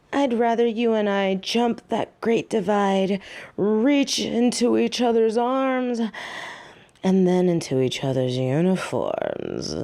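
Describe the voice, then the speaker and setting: A woman playing a flirty, teasing catgirl thief in a roleplay audio drama, coy and seductive with playfully drawn-out words. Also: mid-range voice, dark tone.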